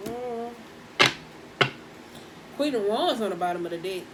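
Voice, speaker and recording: A woman with a husky voice doing a casual, chatty tarot reading, speaking in with laughs and slangy asides. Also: on the high side, expressive, measured pace, heavy background noise. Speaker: tarot reader.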